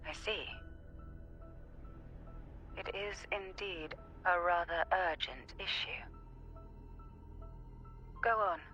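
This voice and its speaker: A woman's voice: on the low side, pushed and bright, lo-fi sound, faint music behind, full character voice. An actress playing an elegant, composed noblewoman in a video game, speaking formally and graciously through a call filter. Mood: composed, explanatory. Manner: formal, poised, measured speech. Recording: thin band-limited call sound.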